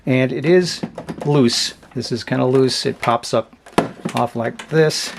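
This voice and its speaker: A man casually demonstrating products without a script, speaking in an offhand, halting and hedging way. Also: medium pitch, creaky, background noise. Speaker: host of a vintage radio review channel.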